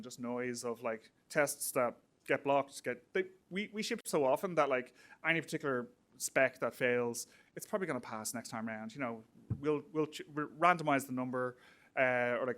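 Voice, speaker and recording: A man with a mid-pitched voice presents at a technical meetup. He talks informally, as if explaining to colleagues. His delivery is conversational and a little halting, full of small verbal fillers. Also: a bit bright. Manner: conversational, hesitant with frequent "like" and "you know". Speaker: tech meetup speaker. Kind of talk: informal technical conference talk.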